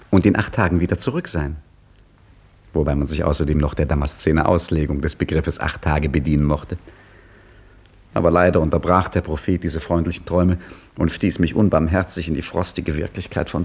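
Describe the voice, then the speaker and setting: A man's voice: deep voice, darkish tone, husky. An actor playing an earnest Egyptian man searching for Allah's hundredth name in a 1950s radio drama, insistent in dialogue and wry as he narrates.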